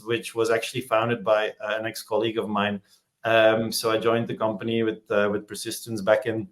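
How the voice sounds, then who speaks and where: A man, a podcast guest talking in a relaxed, rambling way as he searches for words. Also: on the low side. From Belgium. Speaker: podcast guest, startup executive. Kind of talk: casual interview chat.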